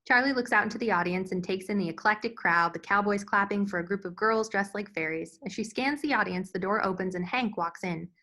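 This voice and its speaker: A woman's voice: mid-range voice, a little fast, few pauses, bright, forceful tone. A woman reading scene headings and stage directions as the narrator of a screenplay table read.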